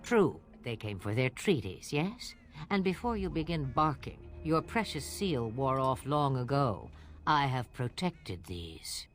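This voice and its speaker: A woman's voice: extremely low pitch, very animated, a little slow, heavily acted. An actress playing a sly old swamp witch who talks in teasing riddles. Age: older.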